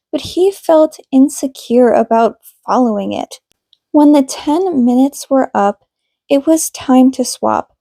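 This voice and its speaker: High-voiced, unhurried woman narrator. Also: vocal fry, mellow, no room sound. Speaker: podfic reader. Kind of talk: scripted fiction narration.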